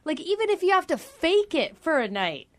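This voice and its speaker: High-voiced woman on morning radio. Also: big pitch swings, fairly quick. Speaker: radio show co-host.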